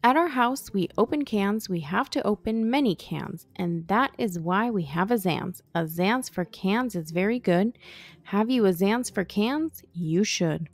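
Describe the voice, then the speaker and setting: A woman reading a rhyming children's picture book aloud in a playful, animated storytelling manner. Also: mid-range voice, a little slow. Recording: music behind the voice. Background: background music.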